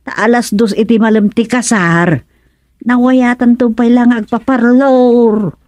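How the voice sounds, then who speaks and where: A woman's voice: a little high, steady tone, unhurried, a little mellow, dry recording, lightly acted. A woman with an Ilocano accent playing a practical, teasing village wife in a radio comedy-drama, speaking expressively. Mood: instructing.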